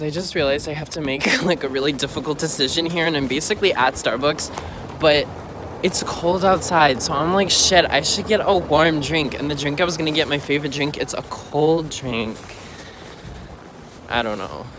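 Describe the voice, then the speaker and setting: A young man vlogging casually on a phone at arm's length, walking city streets and chatting excitably with lots of "like". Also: on the high side, moderate pace, background noise. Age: young adult. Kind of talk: casual vlog chat. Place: bedroom indoors, then city streets outdoors. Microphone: phone held at arm's length.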